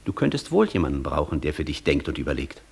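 A man's voice: extremely low pitch, big pitch swings, fast talker, vocal fry, breathy edge, exaggerated voice. A man acting a proud, cool intellectual in a German radio play, with a declamatory, argumentative and precise delivery. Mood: challenging, superior. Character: proud intellectual scholar, cool, challenging the Devil.

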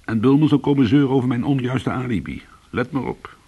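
An actor playing an anxious, aggrieved ex-convict trying to go straight in a Dutch radio play, with a husky voice and a delivery between subdued and agitated. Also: male voice, mid-range voice, expressive, fairly quick, mellow, highs cut off. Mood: weary, tense. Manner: swings from subdued to agitated protest. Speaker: lead character and narrator.

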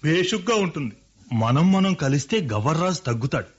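An actor playing a sly older brother who gossips and conspires. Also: male voice, fairly high pitch, animated, moderate pace, husky. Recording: dull lo-fi radio recording.

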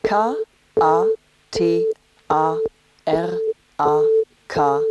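A woman's voice: low voice, expressive, drawn-out words, darkish tone, no echo, lo-fi sound, heavily acted. A woman playing a formal test voice, dictating scripted instructions item by item. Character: slow, formal female test voice dictating questions.

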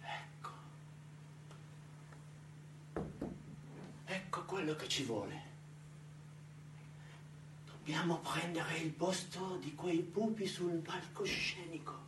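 A man playing a pompous, exalted military leader who fancies himself a great emperor, declaiming grandly and haltingly in a theatrical monologue. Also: high-pitched. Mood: hushed, scheming. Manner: grandiose, declamatory, halting, swinging from hush to shouts. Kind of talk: theatrical radio monologue acting. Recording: roomy, distant, noisy theatrical recording.

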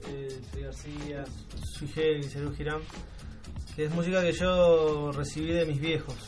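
A man's voice: fairly high pitch, little pitch movement, normal speed, over music. A man with an Argentine accent in a casual interview as a band musician, hesitantly searching for his words.

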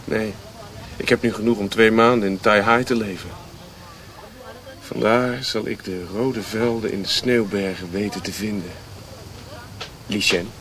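An actor playing a restless, bitter and scheming ship's officer in a radio play. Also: male voice, on the low side, steady tone, a little slow, long pauses, fairly bright tone. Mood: resolute. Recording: noisy old radio play recording.

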